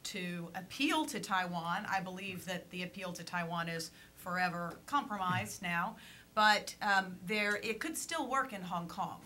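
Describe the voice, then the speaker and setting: Bright-voiced woman on a panel. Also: fairly low pitch. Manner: thoughtful, pauses with fillers and restarts. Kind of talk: panel discussion.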